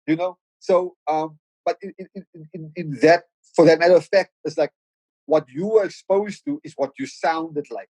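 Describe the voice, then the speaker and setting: A middle-aged man with a Cape Town South African accent speaks as a podcast guest, his voice sitting a bit high. He thinks aloud, restarting phrases over and over, into a dry close mic. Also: normal speed, a little mellow, breathy edge, no room sound, poor audio. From South Africa, Cape Flats in Cape Town. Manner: reflective, with frequent stammered restarts. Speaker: podcast guest, rapper and producer. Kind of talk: casual podcast conversation.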